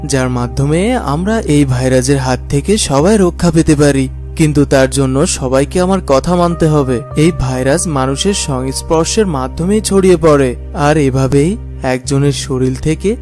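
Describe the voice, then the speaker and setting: A man's voice: on the high side, moderate pace, a little mellow, faint music behind, lightly acted. A man playing a wise townsman in a children's cartoon, earnestly instructing the people. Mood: earnest, urging. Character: earnest wise townsman advising everyone on survival. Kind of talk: children's cartoon fairy-tale voice acting.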